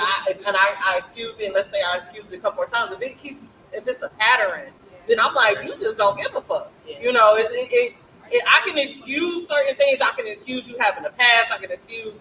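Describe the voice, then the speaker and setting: A woman's voice: fairly high pitch, sharp tone, slight background noise, poor audio. A woman in a casual group discussion who talks in an earnest, rambling way, full of fillers like "you know".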